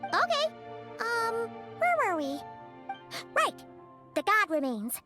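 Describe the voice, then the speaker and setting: A woman voicing a small, naive, excitable fairy companion in a video game, squeaky and childlike, over background music. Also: slow, full character voice. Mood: puzzled, earnest. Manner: exclaiming, hesitant questioning, refers to herself by name. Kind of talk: video game character voice acting.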